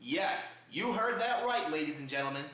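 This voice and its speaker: A man's voice: a little high, animated, normal speed, pushed and bright. A man hosting a comedic news show with a theatrical, exaggerated showman delivery. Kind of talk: comedic news commentary to camera. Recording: roomy, echo-heavy home recording.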